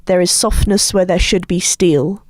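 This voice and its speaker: A woman, co-hosting a poetry podcast in a halting, hesitant way. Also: lowish voice, no room sound, studio quality. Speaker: podcast co-host and poet. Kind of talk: casual podcast chat and poem reading.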